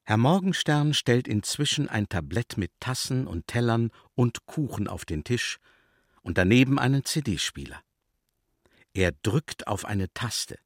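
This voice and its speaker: A man's voice: mid-range voice, animated, a little slow, dry recording, clean studio sound. A man narrating a children's radio play as a scripted storyteller.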